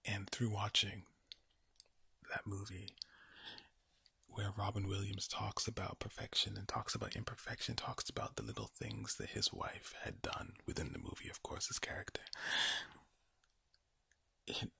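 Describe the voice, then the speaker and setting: A man with an Irish accent giving soothing ASMR affirmations in a low, breathy, gravelly whisper, slowly and deliberately, repeating his phrases. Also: pushed and bright. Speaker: ASMR creator.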